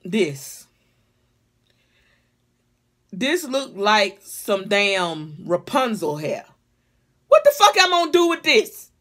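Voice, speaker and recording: Middle-aged woman, mid-pitched voice, casual home-vlog reviewer, blunt, exasperated and freely cursing. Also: a bit bright. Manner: blunt, sweary, comically exasperated. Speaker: vlogger reviewing a wig. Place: small living room or bedroom at home, indoors. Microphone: phone or webcam at arm's length.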